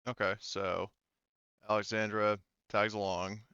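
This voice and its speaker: A man's voice: lowish voice, little pitch movement, measured pace, pushed and bright, smooth, dry recording, muffled recording. A man running a tabletop roleplaying game, narrating informally and hesitantly as he improvises. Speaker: game master.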